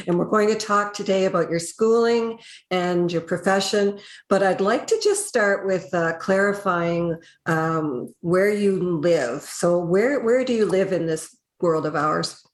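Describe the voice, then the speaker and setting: Low-voiced woman hosting, echoey room. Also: unhurried. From Canada. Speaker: podcast host, HR consultant. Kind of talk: podcast interview.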